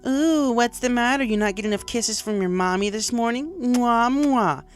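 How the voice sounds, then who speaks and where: A man's voice: extremely high pitch, moderate pace, smooth, soft background music, pitched above the performer's own voice. A man voicing a young pony school bully in a storybook, mocking and teasing. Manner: mocking, sing-song teasing.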